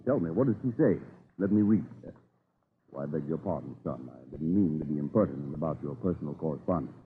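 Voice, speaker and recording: A man's voice: extremely low pitch, lively intonation, quick pace, big-room sound, phone-quality sound. An actor playing a dignified Virginia statesman and father in a 1930s radio drama, speaking in a formal, oratorical way. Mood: calm, assured. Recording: thin, noisy 1930s radio recording.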